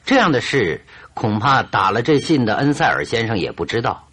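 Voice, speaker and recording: An actor playing a shrewd, confident detective in a radio drama, speaking deliberately and with command. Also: male voice, mid-range voice, sing-song, a bit bright, slightly rough. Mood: probing, assured. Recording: radio drama with music underneath.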